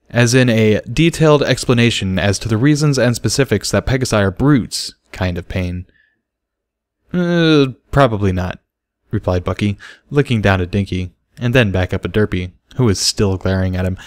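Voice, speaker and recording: A man's voice: fairly low pitch, no room sound, studio quality. A man reading a story aloud as scripted narration for an audiobook. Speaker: audiobook reader of fan fiction.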